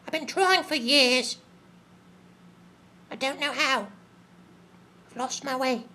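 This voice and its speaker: A man's voice: very high voice, slow, sharp tone, voice pushed up, exaggerated voice. A man voicing a frightened, hungry little boy who pleads anxiously. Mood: pleading.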